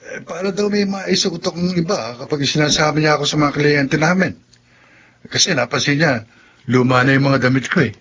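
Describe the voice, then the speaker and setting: A humble, grateful older brother in a radio drama. His voice is husky and rough at the edges, with a bright, forward tone. He is caring and earnest toward his family. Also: fairly high pitch, moderate pace. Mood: pleased, explaining. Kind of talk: Tagalog radio drama acting.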